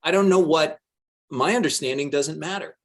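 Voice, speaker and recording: A man's voice: fairly high pitch, pitched above the performer's own voice. Man acting out an evasive, hair-splitting politician. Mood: defensive, evasive. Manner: deliberate, dodging, pedantic. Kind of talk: dramatic reading of a deposition transcript.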